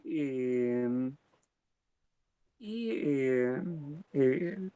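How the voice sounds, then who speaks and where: A man giving an academic lecture, hesitant, with many pauses and fillers, slow and deliberate. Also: mid-range voice, gravelly, dry recording.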